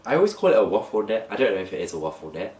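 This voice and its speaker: A young man talks casually to camera, his blocked nose giving his low voice a nasal edge. He sits back from the camera mic in an echoey room. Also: very animated, rapid-fire, a little mellow, far from the mic. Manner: chatty, talks to camera while holding up clothes. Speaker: fashion vlogger. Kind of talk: casual haul vlog.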